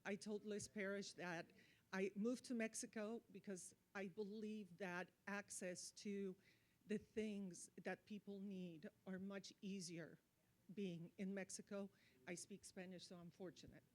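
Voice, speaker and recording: A woman giving earnest, impromptu remarks at a conference, speaking in deliberate, emphatic short phrases. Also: medium pitch, steady tone. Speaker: audience speaker, marketing expert.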